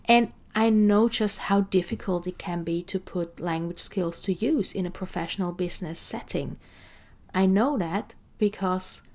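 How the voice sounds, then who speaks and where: A woman's voice: fairly low pitch, darkish tone. A middle-aged woman language coach giving an online lesson, emphatic and playful, with teasing asides to the viewer.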